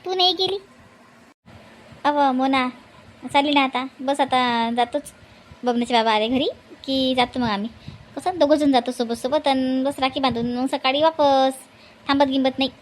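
A woman plays a homely, chatty village housewife from a family cartoon comedy. Her voice sits very high for a woman. A faint noise runs behind the recording. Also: lightly acted. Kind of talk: animated family comedy dialogue.

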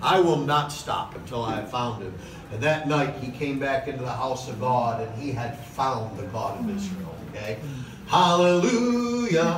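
Man preaching in an echoey church hall. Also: a little high. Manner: impassioned preaching, repeated "okay?" asides, slows for emphasis. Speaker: pastor. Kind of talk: sermon. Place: large church hall with podium. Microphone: far camera in the hall. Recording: echoey hall recorded from a distance with noise.

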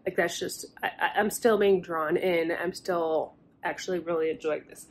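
A woman's voice: fairly low pitch, fairly even intonation, moderate pace, a little hiss or hum. A woman in her thirties talking casually to a handheld phone camera about a book, frank and chatty with the odd swear word. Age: 30s. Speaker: book vlogger. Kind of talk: casual reading vlog chat. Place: hotel room and car, indoors. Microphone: handheld phone camera at arm's length.

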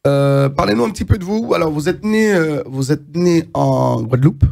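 A man's voice: a little high, moderate pace, creaky, a little mellow. A middle-aged man hosting a studio TV interview, introducing his guest in a hesitant way with many fillers and restarts. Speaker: TV show host. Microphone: lapel mic.

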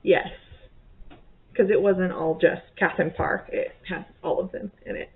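A woman's voice: on the low side, fairly even intonation, measured pace. A woman in her thirties chatting casually at home about her month's reading, rambling and correcting herself. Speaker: book vlogger. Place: bedroom or home office, indoors. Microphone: webcam at desk. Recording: tinny phone-like sound.

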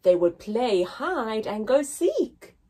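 A young woman reading a picture story aloud for small children, expressively, with deliberate pauses and emphasis and a slightly husky voice. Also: on the high side, very animated, mellow. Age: young adult. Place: indoors, small room with painted backdrop.

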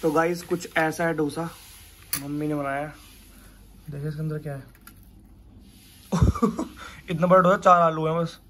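A man's voice: on the high side, steady tone, measured pace, long pauses, fairly bright tone, a little hiss or hum. Chatty young man vlogging his day. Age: young adult. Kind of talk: casual daily vlog. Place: small room at home and outdoors. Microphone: phone camera at arm's length.

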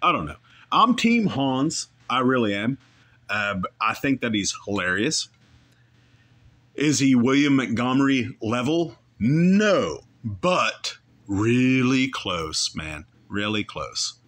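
A man's voice: mid-range voice, very animated, slow pace, fairly bright tone. Guy hosting a podcast, rambles and backtracks a lot, voice goes up and down all over. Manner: rambling, opinionated, self-correcting. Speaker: podcast host reacting to a clip. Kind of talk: casual commentary.

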